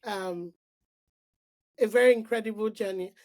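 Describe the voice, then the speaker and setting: A middle-aged woman from Nigeria, a podcast guest telling her story in an animated, casual way with frequent restarts and "like" fillers. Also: mid-range voice, unhurried. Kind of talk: casual interview conversation.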